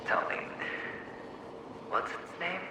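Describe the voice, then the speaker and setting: An actor playing the mocking inner double of a young man, taunting and slipping into hissed whispers, his voice echoing and distant. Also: slow pace. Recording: heavy echo, distant, game ambience noise.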